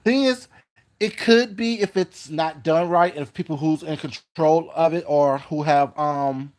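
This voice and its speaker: Chatty man with a higher voice on a livestream. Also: a little slow, a little mellow. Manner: rambling, repeats words, greets viewers by name. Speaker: YouTube livestream host. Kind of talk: casual livestream chat reading comments.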